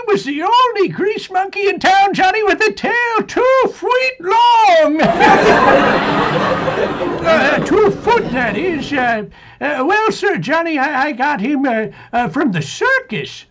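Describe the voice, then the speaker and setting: A man playing a gleeful, rambling old-timer in radio comedy, with a halting, folksy, cackling delivery. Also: sing-song, over a noisy crowd, heavy background noise, full character voice. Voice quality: high-pitched, cackling. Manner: halting, stammering, folksy storytelling.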